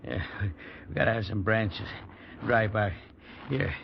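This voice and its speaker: A worn-out, stubborn police sergeant in a vintage radio play. His voice sits very low with a heavy creak, and the phrases come haltingly. The recording is dull and hissy with age. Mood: tense, careful. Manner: halting, weary delivery with broken phrases. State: exhausted. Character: exhausted, dutiful, stubborn male police sergeant freezing in wilderness. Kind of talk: old-time radio drama acting.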